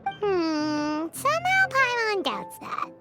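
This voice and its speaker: A woman voicing a tiny, blunt, chatty girl companion in a game, with a high childlike voice. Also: wildly swooping pitch, slow pace, loud music behind the voice, exaggerated voice. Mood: skeptical. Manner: calls herself by her own name, skeptical.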